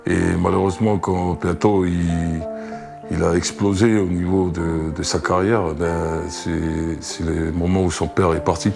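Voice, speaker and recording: Deep, gravelly middle-aged man. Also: level tone, a little slow. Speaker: rugby mentor and recruiter. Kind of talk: emotional anecdotal interview. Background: background music.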